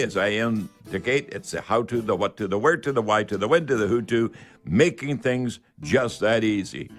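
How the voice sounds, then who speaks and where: Guy hosting a home fix-it radio call-in, folksy patter, music under him. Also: medium pitch. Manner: folksy, repetitive sales patter. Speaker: radio show host. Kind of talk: live call-in home improvement radio show.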